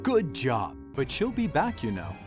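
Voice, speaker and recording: An actor narrating a comic fairy-tale video game as a wry, theatrical storyteller with comic asides. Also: male voice, medium pitch, huge pitch swings, a little slow, over music. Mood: wry, dramatic.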